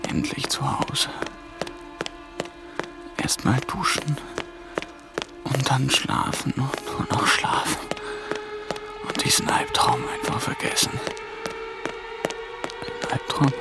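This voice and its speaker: An actor playing an ambitious young man on the run in a radio drama, muttering to himself in breathy fragments. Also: mid-range voice, wildly swooping pitch, slow pace, long pauses, loud music behind the voice, exaggerated voice. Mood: exhausted. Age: young adult. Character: ambitious young German intern on the run, panicked, improvising. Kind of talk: radio drama acting with inner monologue.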